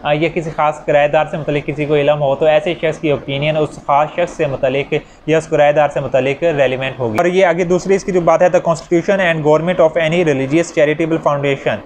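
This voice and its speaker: A man with a Pakistani accent giving an explanatory law lecture with examples, mixing in English legal terms that he reads out slowly. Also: on the high side, fairly even intonation. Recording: clear background noise.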